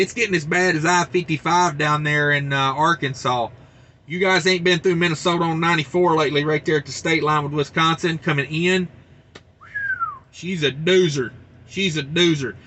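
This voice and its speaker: A man with a high, bright voice hosts a casual trucking show. He reads news aloud and breaks in with folksy wisecracks and asides, loose and chatty throughout. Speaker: trucker channel host.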